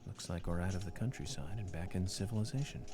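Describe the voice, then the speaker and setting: An actor playing a calm FBI agent in a video game, talking in a dry, quiet, matter-of-fact way. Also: male voice, low voice, fast talker, lightly acted. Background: game music.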